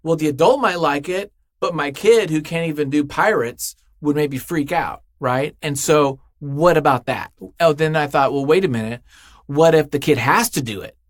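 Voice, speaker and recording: A man's voice: fairly high pitch, normal speed, no room sound, clean studio sound. A man chatting casually as an interview guest, telling things in a rambling way and acting out imagined quotes. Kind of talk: casual chat.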